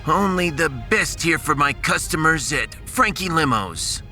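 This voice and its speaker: A man's voice: on the high side, animated, unhurried, bright, forceful tone, soft background music, voice pushed up, heavily acted. A man voicing a wisecracking shuttle pilot with showy limo-driver patter in a science fiction audiodrama. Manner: showy mock-announcer salesmanship.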